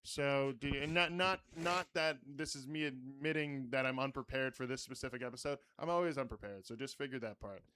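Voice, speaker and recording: Loud, smooth-voiced male podcast host. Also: medium pitch, fairly quick, hardly any pauses. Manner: rambling, swears casually, lots of fillers. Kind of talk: casual chat.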